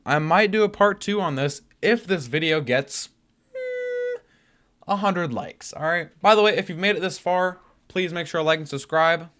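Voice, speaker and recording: A man's voice: high-pitched, very animated, moderate pace, a bit bright, a little hiss or hum. A man hosting a reaction video, talking casually in informal, slangy speech. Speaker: YouTube reaction host.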